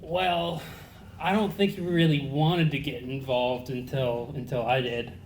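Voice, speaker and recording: A man who races cars answers a host's questions in a relaxed, matter-of-fact way. His voice sits at an average pitch. There is faint noise behind him. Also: a little slow, roomy sound, rough recording. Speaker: guest, race car driver. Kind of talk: casual interview.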